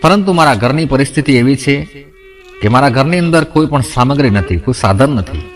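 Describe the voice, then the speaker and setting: A man's voice: medium pitch, lively intonation, fairly quick, soft background music. A man narrating a moral family story, speaking straight to his listeners and voicing the quoted characters in his own voice. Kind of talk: narrating a moral folk tale.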